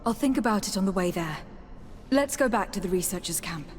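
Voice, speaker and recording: A woman acting a diplomatic young-sounding noble envoy from a fantasy game. She speaks in a composed, measured way at a middling pitch, with music playing clearly behind her. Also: expressive, lightly acted. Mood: pensive. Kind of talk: scripted fantasy game dialogue.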